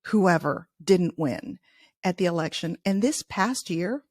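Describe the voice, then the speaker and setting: A woman's voice: lowish voice, animated, a little slow, a little mellow, dry recording, studio quality. A middle-aged woman from Chicago, a native Spanish speaker with no accent, chatting in a podcast interview with many restarts. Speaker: podcast host and author, interviewed as guest.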